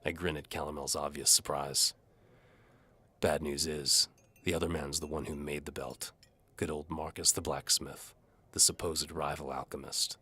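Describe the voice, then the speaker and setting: A man's voice: little pitch movement, moderate pace, pushed and bright. A man narrating a fantasy audio drama in the first person, with a world-weary, wry, hard-boiled delivery. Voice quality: very deep, husky. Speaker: narrator and lead actor.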